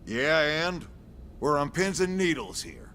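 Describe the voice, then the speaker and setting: An actor playing a sardonic, folksy military commander in a video game cutscene. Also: male voice, a little high, very animated, unhurried, background noise. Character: gruff, wisecracking male military commander. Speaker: commanding officer briefing recruits.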